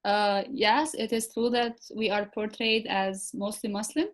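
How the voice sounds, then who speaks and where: A woman's voice: medium pitch, steady tone, measured pace. A woman from Kosovo with an Albanian accent, a native Albanian speaker, talking as an interview guest and hesitantly searching for words. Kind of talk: conversational interview.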